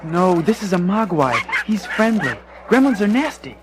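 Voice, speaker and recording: An actor playing an earnest, excitable young man in a storybook record dramatization. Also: high-pitched, normal speed, heavy background noise. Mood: alarmed, urgent. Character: excitable earnest young man, brave but alarmed hero. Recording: music behind the voice.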